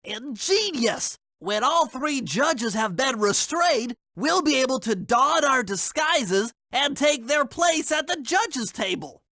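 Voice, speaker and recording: A small, earnest turtle boffin in a video game. The voice is high, pinched and piercingly bright, with fussy, bookish, precise phrasing. It sounds close and dry. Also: male voice, animated, takes it slowly, hardly any pauses, no room sound, heavily acted. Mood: earnest, scheming. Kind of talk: video game character voice acting.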